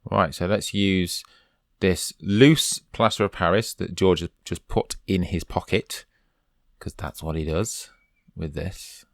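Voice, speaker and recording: A man's voice: deep voice, steady tone, slow, creaky, dry recording, studio quality. A man from the UK commenting casually over a game, chatty and urging his character on, while losing his voice. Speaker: let's play host.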